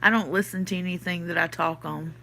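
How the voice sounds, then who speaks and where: Bright-voiced Southern woman, stuffy nose. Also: lowish voice, fairly even intonation, a little fast, creaky. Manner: chatty, thinking aloud, answers chat comments. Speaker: podcast host reading live chat. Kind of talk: casual livestream chat.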